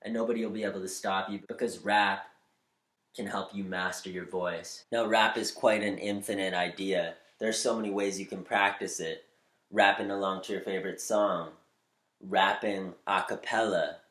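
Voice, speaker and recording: A man with a slightly nasal voice giving upbeat, spiritual advice on using your voice, shifting between quick bursts and slow, deliberate lines. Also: low voice, steady tone, a bit bright, echoey room. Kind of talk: motivational talk to camera.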